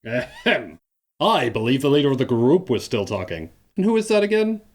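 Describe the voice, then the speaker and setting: A man's voice: medium pitch, sing-song, normal speed, background noise, lightly acted. A man playing a stubborn, boastful cartoon echidna hero in a fan audio drama, pompous and melodramatic. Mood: gleeful, boastful.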